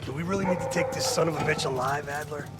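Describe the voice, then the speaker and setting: A man's voice: on the high side, quick pace, over loud music, close to an everyday voice. An actor playing a brash, hot-tempered male soldier in a video game cutscene, blunt and aggressive. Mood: irritated.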